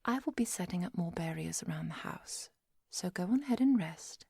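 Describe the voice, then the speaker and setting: A woman playing a kind, protective vampire hunter in a soft, often whispered ASMR roleplay, speaking in a gentle, measured and formal way. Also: lowish voice, expressive, creaky, breathy edge, no room sound, studio quality, exaggerated voice. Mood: protective, calm. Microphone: close microphone, dry.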